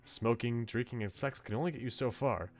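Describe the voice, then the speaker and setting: A man's voice: mid-range voice, a little fast, natural voice. A man reading aloud a visual novel's bookish male student, articulate, philosophical and politely opinionated. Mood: earnest, critical. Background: music.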